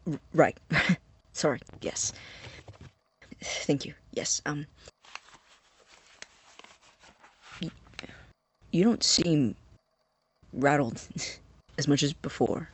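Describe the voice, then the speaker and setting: A man playing a nervous, obsessive, awkwardly eager young student scientist in an audio drama, stammering in a breathy, husky voice. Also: a little high, slow, long pauses, fairly bright tone, heavily acted. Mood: fascinated, hesitant. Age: young adult. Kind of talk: naturalistic audio drama acting.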